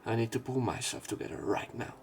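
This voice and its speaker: A man reading a visual novel aloud as a let's player, in an expressive, dramatic narration style. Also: mid-range voice, quick pace, creaky. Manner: expressive, with emotional swells.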